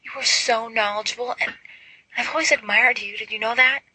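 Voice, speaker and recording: A woman voicing a frightened, clingy teenage girl with a breathy, whimpering, simpering delivery in an audiobook story. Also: a little high, steady tone, fairly quick, bright, forceful tone, no room sound, pitched above the performer's own voice, exaggerated voice, poor audio. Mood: breathy admiration.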